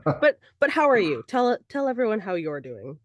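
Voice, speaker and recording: A young woman co-hosting a casual podcast with her father, hoarse and congested after an illness, playful and teasing. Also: mid-range voice, sing-song, normal speed, no room sound. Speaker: podcast co-host. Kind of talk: casual chat. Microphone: recording online.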